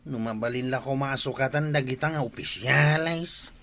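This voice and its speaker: A man's voice: mid-range voice, moderate pace, pushed and bright. A man with an Ilocano accent playing a nagging, exasperated village official in a comedic radio drama, scolding insistently.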